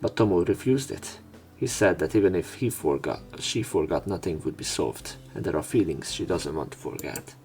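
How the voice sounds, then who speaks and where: A man reading visual novel text aloud as a let's play narrator, in measured short phrases. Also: low voice, animated, a little mellow. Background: game music.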